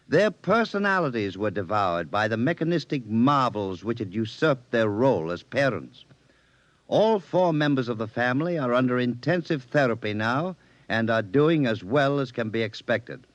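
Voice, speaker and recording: A calm doctor in an old radio play. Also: male voice, medium pitch, no room sound. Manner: composed, dictating a report. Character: calm middle-aged male doctor. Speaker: doctor character. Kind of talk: 1950s radio drama acting. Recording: dry, dull vintage radio recording.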